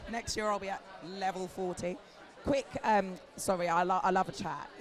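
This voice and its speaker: A British woman in her forties, an agency founder talking candidly on a festival panel, her voice hoarse from talking. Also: mid-range voice, moderate pace. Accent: British English. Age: 40s. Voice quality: animated, chatty, swears casually. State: hoarse, lost voice. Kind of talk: candid conversational panel talk. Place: outdoor festival stage with crowd. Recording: live event, crowd noise behind.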